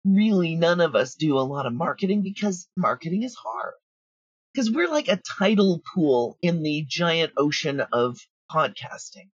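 Husky, frank woman in casual interview. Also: deep voice, big pitch swings, normal speed, no echo, muffled recording. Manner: frank, practical, informal. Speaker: audio drama writer and producer, interview guest.

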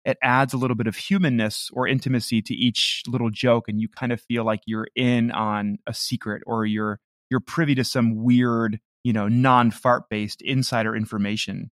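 A man's voice: mid-range voice, fairly even intonation, moderate pace, few pauses, fairly bright tone, no echo. An American man chatting in an interview in a mid-range voice. He comes across as earnest and self-deprecating, and the sound is very dry. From Midwestern United States. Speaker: comedy podcast creator. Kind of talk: casual interview conversation.

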